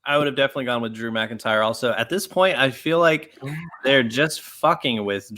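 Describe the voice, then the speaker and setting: A man's voice: medium pitch, fairly quick, pushed and bright. Man hosting a casual podcast. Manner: announces matchups, calls on others by name. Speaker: podcast host. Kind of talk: casual chat among friends.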